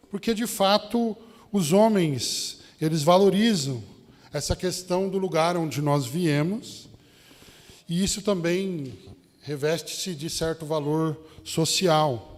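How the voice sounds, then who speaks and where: Brazilian guy from sao paulo preaching, sao paulo accent, kinda high voice, lots of hall reverb, talks right at the congregation. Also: a little mellow. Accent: São Paulo Brazilian. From São Paulo state, Brazil. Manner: rhetorical questions, addressing the congregation directly. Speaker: Baptist pastor. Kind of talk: sermon with personal anecdotes.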